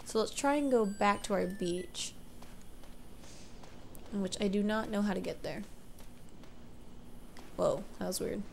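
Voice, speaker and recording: A woman's voice: mid-range voice, slow pace, creaky, long pauses, slight background noise. Rambling congested young woman gamer. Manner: rambling, self-correcting, unsure. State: congested from allergies. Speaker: let's play gamer. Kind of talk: casual gameplay commentary.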